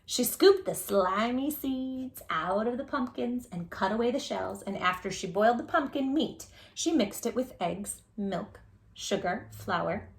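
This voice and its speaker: A woman's voice: mid-range voice, creaky. A woman hosting storytime, reading a picture book aloud expressively and chatting playfully with young listeners. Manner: playful, animated, addressing children directly. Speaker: children's storytime reader and host.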